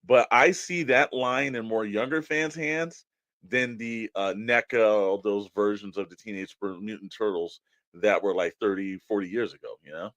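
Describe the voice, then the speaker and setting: A man's voice: on the low side, steady tone, moderate pace, fairly bright tone, no echo. An American man co-hosting a podcast in a casual, conversational style. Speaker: podcast co-host. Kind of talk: casual toy podcast chat.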